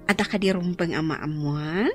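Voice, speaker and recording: A woman's voice: on the low side, moderate pace, soft background music, lightly acted. A woman acting as a playful, nosy young friend in an Ilocano radio drama, with exaggerated, teasing, sing-song delivery.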